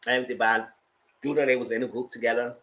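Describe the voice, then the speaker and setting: A man with a light, high-pitched voice, chatting casually as host of a live documentary review and greeting viewers. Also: fairly even intonation, fast, a bit bright, low-quality recording. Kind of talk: casual live-stream commentary.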